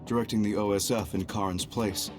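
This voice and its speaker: An actor playing a stern, calm military commander and scheming reformer in an English game dub, speaking formally and deliberately. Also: male voice, lowish voice, natural voice. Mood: grave, resolved. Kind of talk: English-dubbed video game cutscene acting. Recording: music behind the voice.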